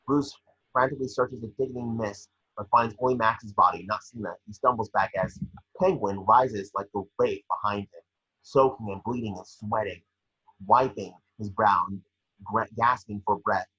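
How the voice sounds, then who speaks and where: A man's voice: lowish voice, normal speed, pushed and bright, husky, dull audio. A man narrating screenplay action in an amateur table read, occasionally stumbling over the script. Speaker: scene-description reader.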